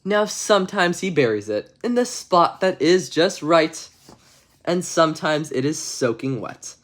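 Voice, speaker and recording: A man's voice: a little high, expressive, unhurried, a bit bright. Tongue-in-cheek man hosting a storytime stream. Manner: mock-innocent, tongue-in-cheek. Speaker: streamer host reading stories. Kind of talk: livestream chat and storybook read-aloud.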